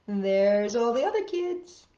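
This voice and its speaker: A woman hosting storytime, reading a picture book aloud in an expressive, exaggerated way with drawn-out words. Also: a little high, roomy sound, muffled recording. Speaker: children's storytime host and reader.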